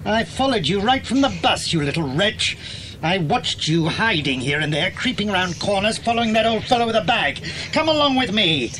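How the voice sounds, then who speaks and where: High-pitched, indignant scolding man. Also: sing-song, moderate pace, pushed and bright, a little hiss or hum, full character voice, poor audio. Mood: threatening, angry. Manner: accusing, bullying, indignant. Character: angry, suspicious man scolding a boy. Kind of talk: dramatised children's audiobook acting.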